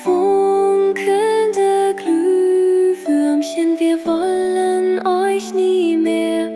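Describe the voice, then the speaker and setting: Woman singing a very high, slow lullaby. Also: flat delivery. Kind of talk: slow sung lullaby for children. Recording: loud musical backing. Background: lullaby music.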